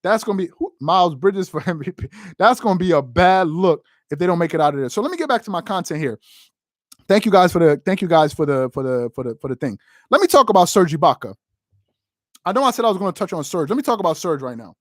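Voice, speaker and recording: Animated, opinionated man on a sports show. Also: high-pitched, quick pace, dry recording, studio quality. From United States. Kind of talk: casual live-stream sports talk reading viewer comments.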